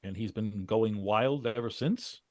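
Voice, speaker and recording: A man playing a shrewd, businesslike adventurer who leads his partners in a vintage-style radio drama, questioning briskly and wryly. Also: fairly low pitch, darkish tone, no room sound, clean studio sound, lightly acted. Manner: brisk, probing, wry questioning.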